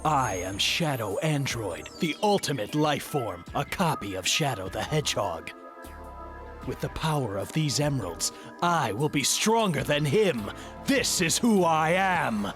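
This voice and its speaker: An actor playing a grim, arrogant android clone in a video game cutscene, speaking in solemn, menacing declarations. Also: male voice, medium pitch, big pitch swings, measured pace. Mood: triumphant. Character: grim, arrogant male android clone, self-proclaimed ultimate life form. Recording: game audio under loud music.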